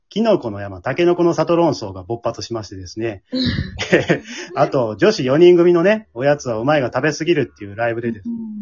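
Animated Kansai man hosting, slightly muffled. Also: mid-range voice, slightly rough. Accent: Kansai Japanese. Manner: polite, playful, often laughing. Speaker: host and amateur drama troupe leader. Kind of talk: casual radio show hosting.

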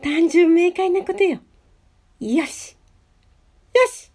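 A woman's voice: very high voice, slow, a little mellow, pitched above the performer's own voice. A woman playing a proud, lovesick teenage schoolgirl in an amateur radio drama, talking to herself dramatically. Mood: nervous, psyching up. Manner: dramatic, tsundere-like, talks to herself.

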